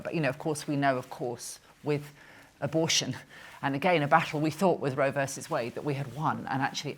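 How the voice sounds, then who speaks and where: A woman moderating a panel discussion, brisk and engaged as she invites views from the room. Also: very deep voice.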